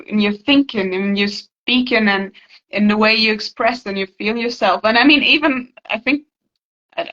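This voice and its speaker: A woman's voice: medium pitch, bright, forceful tone, muffled recording. A woman from Germany, a native German speaker, talking reflectively and casually, searching thoughtfully for her words.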